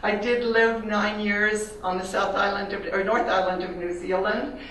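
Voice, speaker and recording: A woman's voice: medium pitch, fairly even intonation, a bit bright, distant mic, slight background noise. Older Canadian woman in an echoey hall. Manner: reflective, personal, pauses with fillers. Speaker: artist giving a talk. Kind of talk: slide talk to an audience. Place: large echoey room.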